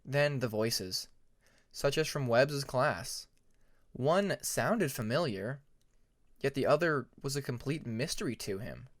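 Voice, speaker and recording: A man narrating a story from a script as an audiobook, reading deliberately phrase by phrase. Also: medium pitch, expressive.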